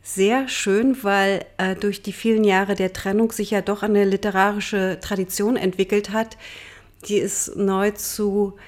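Female director in interview, medium pitch. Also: flat delivery, a little slow. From East Germany. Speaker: radio drama director. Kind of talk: reflective interview talk.

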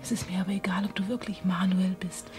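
An actress playing a wistful, lovestruck woman in a romantic radio drama, breathy, intimate and confiding. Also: mid-range voice, little pitch movement, fast talker, darkish tone, faint music behind, natural voice. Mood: tender, intense.

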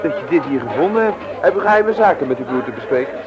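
An actor playing an affable, inquisitive café acquaintance in a Dutch radio drama, with a breezy manner. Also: male voice, on the high side, lively intonation, fast talker, close to an everyday voice. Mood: breezy, curious. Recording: dull radio recording, crowd and music.

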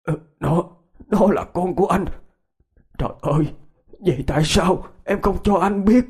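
A man's voice: high voice, measured pace, darkish tone, breathy edge, pitched above the performer's own voice. A man playing an older husband with a choked, trembling voice, pleading with emotion in a dramatic story. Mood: anguished. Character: older husband, heartbroken, choked with long-held grief.

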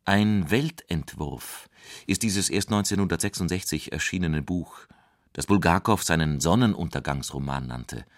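A man reading literary quotations in a scripted, measured style. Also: deep voice, dry recording, studio quality. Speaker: reader of quotations.

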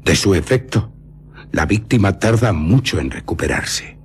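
Very deep, creaky-voiced grave baron. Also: male voice, expressive, a little slow, a little hiss or hum. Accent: Castilian Spanish. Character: grave baron, vampire hunter descended from a nobleman. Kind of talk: radio drama acting.

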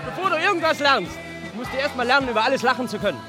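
An extremely high, scornful, laughing mocker in a seventies radio drama. Music and crowd sounds run behind the derisive voice. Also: male voice, very animated, racing. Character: mocking, scornful cackler who laughs at everything. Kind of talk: 1970s German radio play acting.

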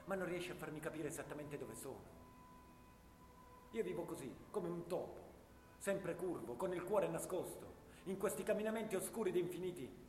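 Male actor as tormented soldier, higher register, declamatory stage delivery, roomy far-off recording. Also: darkish tone, close to an everyday voice. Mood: desperate. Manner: anguished, declamatory, repetitive. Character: despairing trench soldier, trapped in darkness like an animal. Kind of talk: theatrical stage monologue. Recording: echoey hall from far off, faint noise.

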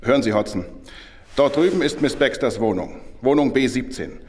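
An actor playing a reassuring, confident police superintendent in a radio drama. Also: male voice, mid-range voice, animated, normal speed, a little mellow, slight background noise, lightly acted. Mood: brisk.

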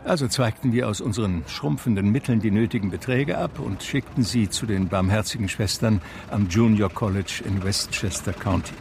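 An actor playing a wistful blind pianist who narrates his life in a radio drama, measured and reflective, with a gravelly voice. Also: lowish voice, background noise, natural voice. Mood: reminiscing. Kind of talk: literary first-person radio drama narration.

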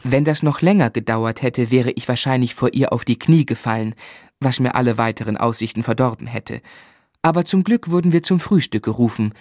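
An actor playing a sentimental, wry young gentleman who narrates his own life in a German radio drama. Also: mid-range voice, a little fast, no echo, natural voice. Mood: wry, reminiscent. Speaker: first-person narrator.

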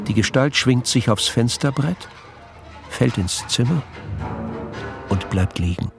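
A man's voice: medium pitch, animated, takes it slowly, vocal fry, over music. A man narrating a literary crime radio play. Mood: measured. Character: male narrator of a crime story.

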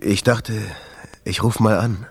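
A man's voice: fairly low pitch, fairly even intonation, slow, loud music behind the voice. A man playing a weary, stubborn jazz club owner in a naturalistic radio drama. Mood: tentative.

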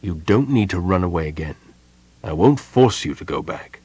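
Man playing a stern, low-voiced, earnest mage. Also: animated, warm tone, slightly rough, dry recording. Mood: grave, earnest. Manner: measured, serious, explanatory. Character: stern, earnest male mage, patient and grave.

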